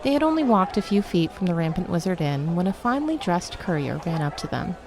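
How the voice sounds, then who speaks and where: A woman narrating a scripted fantasy story in a steady storytelling manner. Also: fairly low pitch, brisk, a crowd in the background, noisy background.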